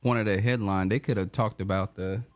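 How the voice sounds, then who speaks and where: Brief: low male co-host, short dry remarks. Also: steady tone, fairly quick, faint music behind. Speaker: podcast co-host. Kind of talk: casual chat.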